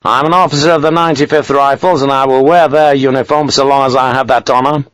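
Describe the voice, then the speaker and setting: A man's voice: medium pitch, fast talker, smooth, dry recording, pitched above the performer's own voice, natural voice, low-quality recording. Stubborn lieutenant, done by a male narrator: proud, plain-spoken, defiant, historical novel audiobook. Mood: firm, defiant. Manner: flat, firm, defiant.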